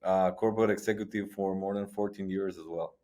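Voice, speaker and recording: Middle-aged man, somewhat low voice, stop-start guest answers. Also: steady tone, a little mellow. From Guatemala. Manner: halting, frequent restarts and repetitions. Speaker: guest, sports entrepreneur. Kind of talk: conversational interview.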